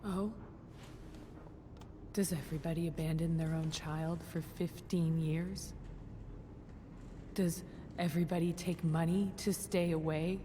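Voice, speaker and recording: A woman playing a broken, guarded mother in a game drama, speaking in a weary, deliberate way with bitter resignation. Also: deep voice, fairly even intonation, mellow, in a large hall, slight background noise. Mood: bitter, resigned. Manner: weary, deliberate, clipped phrases. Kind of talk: naturalistic dramatic game acting.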